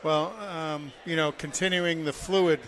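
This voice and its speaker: A man's voice: a little high, fairly even intonation, measured pace, a bit bright. A man giving informal car care advice on a talk show in a plain-spoken, instructive way. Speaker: car care segment expert. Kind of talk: informal explaining.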